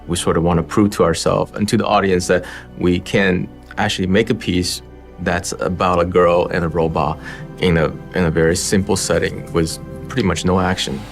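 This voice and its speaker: A man's voice: deep voice, flat delivery, normal speed, vocal fry, soft background music. A man reflecting on a story in a documentary interview, with small restarts. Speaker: animation director interviewed.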